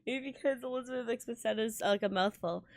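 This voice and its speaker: A woman streaming a visual novel, reading it aloud in a halting, giggly way with stumbles over words. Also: fairly high pitch, no echo. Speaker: game streamer.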